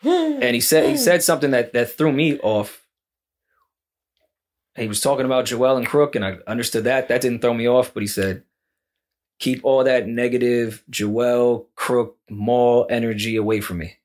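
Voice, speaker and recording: A man's voice: medium pitch, lively intonation, moderate pace, a little mellow. A man chatting casually on a podcast, telling a story animatedly with his own reactions acted out. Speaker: podcast co-host.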